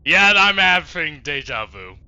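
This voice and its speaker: Male let's player, very forceful sharp tone, hugely varied pitch, casual, profane, jokey. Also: unhurried, clean tone, no room sound, highs cut off. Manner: profane, animated, jokey reactions. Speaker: streamer playing a game. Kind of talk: casual let's play commentary.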